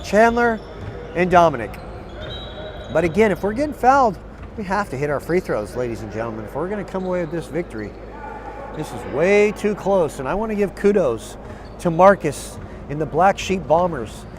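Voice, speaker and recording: A hoarse man with a raspy voice doing live, partisan color commentary for a basketball game. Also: sits high, animated, moderate pace, background noise. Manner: partisan, cheers on one team with "we". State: hoarse, tired voice.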